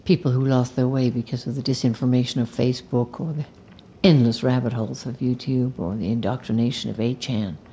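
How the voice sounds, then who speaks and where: An actor playing a calm, zealous leader of an anti-technology movement in an audio drama, speaking in a measured, solemn, deliberate way. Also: male voice, mid-range voice, slight background noise, natural voice. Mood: solemn conviction. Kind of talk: immersive audio drama acting.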